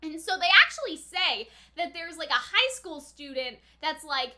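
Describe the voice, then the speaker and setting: A woman's voice: high-pitched, expressive, normal speed, bright, forceful tone. A young woman giving casual, chatty reaction commentary, thinking aloud in a slangy, giggly way. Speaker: anime reaction host.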